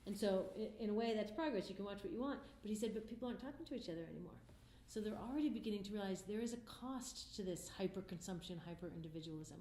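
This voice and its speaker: A middle-aged woman talks quickly and earnestly as an interview guest, her voice mellow and soft-edged. The room around her is echoey, with a faint hiss underneath. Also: medium pitch, animated. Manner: earnest, passionate. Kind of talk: conversational interview answers. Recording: roomy echo, faint background noise.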